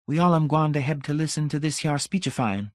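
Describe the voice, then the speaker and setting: A man's voice: a little high, brisk, dry recording, clean studio sound, voice pushed up, close to an everyday voice. A man voicing a man who fakes a broad rural Southern drawl, muttering. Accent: put-on rural Southern drawl.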